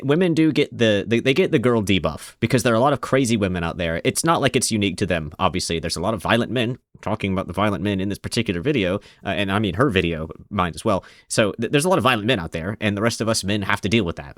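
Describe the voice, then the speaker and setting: Male commentator, low-ish voice, dry close sound, rambling and sarcastic. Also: animated, fast talker, few pauses, fairly bright tone, dry recording. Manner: rambling, sarcastic, many restarts. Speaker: video commentator. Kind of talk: casual commentary over video clips.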